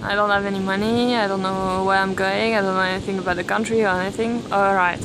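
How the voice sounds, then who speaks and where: A young woman from Belgium, filming herself at arm's length on a beach and talking thoughtfully with many pauses and restarts. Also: mid-range voice, steady tone. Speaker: vlogger. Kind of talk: casual selfie vlog. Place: outdoors on a beach, windy. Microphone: camera at arm's length. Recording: wind noise on the mic.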